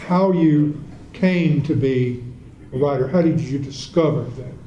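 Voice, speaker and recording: Male moderator, fairly high but mellow voice, echoey room. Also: unhurried, lo-fi sound. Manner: easygoing, conversational questions. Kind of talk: moderating a panel. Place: event room in a library. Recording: echoey room with faint noise.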